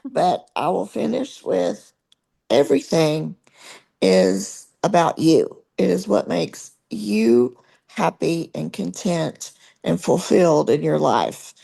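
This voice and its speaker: Hoarse gravelly-voiced woman co-host. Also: on the low side, big pitch swings, slow, dark tone. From American South. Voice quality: husky, gravelly. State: losing her voice, hoarse. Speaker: podcast co-host. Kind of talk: casual chat.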